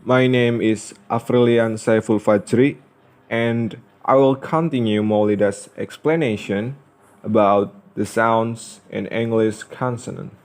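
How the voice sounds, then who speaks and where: A young man reads a scripted lesson as a student presenter, enunciating carefully and deliberately. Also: on the low side, fairly even intonation, a little mellow. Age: young adult.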